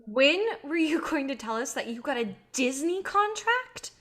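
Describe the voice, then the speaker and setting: A woman's voice: high voice, sing-song, measured pace, a bit bright, heavily acted. A woman in a staged play reading, playing an exasperated but level-headed film colleague who is reproving and insistent.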